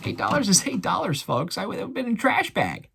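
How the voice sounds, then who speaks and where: A man's voice: high voice, wildly swooping pitch, a little fast, vocal fry, fairly bright tone, slight background noise. An American man talking casually to viewers as an online reseller running through a sales recap in a chatty, folksy showman's way. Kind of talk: casual vlog recap of items sold.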